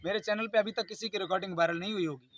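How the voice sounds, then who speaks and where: A man's voice: high voice, fairly even intonation, rapid-fire, fairly bright tone. A man giving an unscripted video statement, speaking in an aggrieved, insistent, pleading and repetitive way. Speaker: YouTube channel host.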